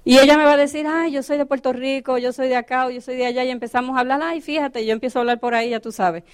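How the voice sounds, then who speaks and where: Woman coaching a sales team, kinda high voice, dry sound, keeps asking "ok?". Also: fairly even intonation, fast talker, smooth, no echo. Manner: coaching, asks the audience questions. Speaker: business trainer. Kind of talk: motivational training talk to a group.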